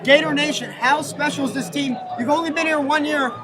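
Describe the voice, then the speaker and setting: A young man interviews in a TV sports reporting style. His voice sits high for a man and he talks fast. A loud crowd is heard behind him. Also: fairly even intonation.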